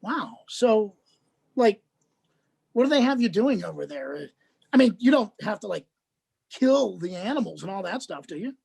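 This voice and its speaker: A woman's voice: medium pitch, lively intonation, moderate pace, vocal fry, pauses often, a little mellow, husky. A woman playing an awkwardly friendly, chatty male social worker in a dramatized script reading, hesitant and repeating words. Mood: chatty, eager.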